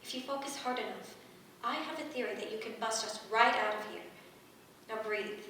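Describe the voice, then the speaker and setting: A woman playing a steady, encouraging young heroine with water powers, speaking earnestly and deliberately in a staged reading. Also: a little high, far from the mic. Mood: coaxing, calm. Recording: echoey room from afar, faint noise.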